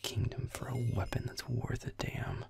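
A man playing a grumpy, foul-mouthed young werewolf boyfriend, muttering sullenly in a breathy, gravelly whisper in an intimate roleplay audio. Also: medium pitch, wildly swooping pitch, normal speed, darkish tone, a little hiss or hum, full character voice. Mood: irritated muttering. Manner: sullen muttering, swearing, sarcastic.

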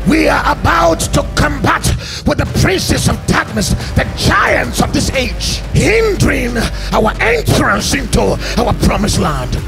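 A man preaching in a fiery way, shouting drawn-out, half-sung lines in a large church. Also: very high voice, creaky. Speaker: pastor. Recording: preaching over loud music.

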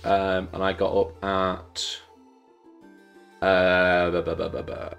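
A man's voice: deep voice, flat delivery, takes it slowly, vocal fry, a bit bright, clean tone, faint music behind. Man, bunged up, losing voice, chatty game host, desk mic. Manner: rambling, chatty, jokey asides. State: congested, losing his voice. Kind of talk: casual live-stream chat while playing.

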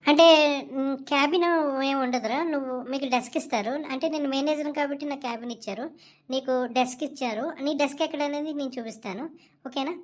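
A woman's voice: sits high, fairly quick, lightly acted. A woman voicing a meek, good-natured young male office manager in a comedy cartoon, hesitant and deferential in the way of talking. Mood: friendly, awkward. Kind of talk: Telugu comedy cartoon dialogue.

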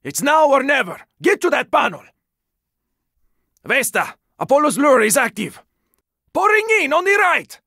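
A man playing a gruff, weary, sardonic squad fighter in a zombie video game, speaking in terse lines with a raspy voice. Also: very high voice, sing-song, measured pace, long pauses, bright, forceful tone, dry recording, clean studio sound, exaggerated voice. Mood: tense, alert. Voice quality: raspy, gravelly. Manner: terse, urgent, swearing. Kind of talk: video game character combat barks.

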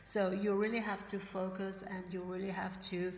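Woman, native Italian and German, halting English. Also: mid-range voice, flat delivery, a little mellow. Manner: halting, searches for English words, many fillers and restarts; gestures with her hands while talking. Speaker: motivational vlogger for network marketers. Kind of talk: casual motivational talk to camera. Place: bright living room indoors. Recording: roomy echo with faint room noise.

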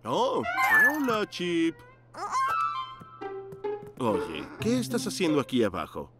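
Loving goofy dog dad for a kids cartoon, swoopy voice, music behind him. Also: male voice, on the high side, huge pitch swings, slow pace, exaggerated voice. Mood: fatherly. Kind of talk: children's cartoon voice acting.

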